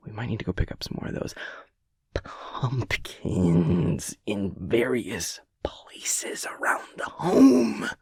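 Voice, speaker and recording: A man performs a manic, playful gremlin boyfriend in an intimate comedy roleplay. His voice is husky and crackly, swinging wildly in pitch as he rambles with mock-grand theatrical flourish. The delivery is unhurried between bursts. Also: huge pitch swings, darkish tone, no room sound, whispered, clean studio sound, exaggerated voice. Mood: eager planning.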